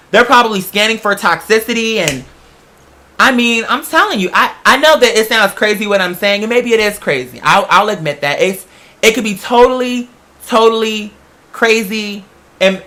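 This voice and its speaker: A man's voice: sits high, animated, moderate pace, pushed and bright. An American man hosting a casual unscripted monologue, talking in a rambling, conversational way and swearing freely.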